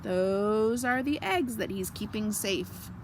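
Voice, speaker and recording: A woman reading a picture book aloud to children, gently explaining and asking questions in asides. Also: medium pitch, expressive, slow pace, background noise. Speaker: children's story reader.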